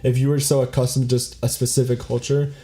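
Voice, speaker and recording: A young man from South Florida, co-hosting a podcast in relaxed casual chat, full of fillers and casual swearing. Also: medium pitch, fast. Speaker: podcast co-host.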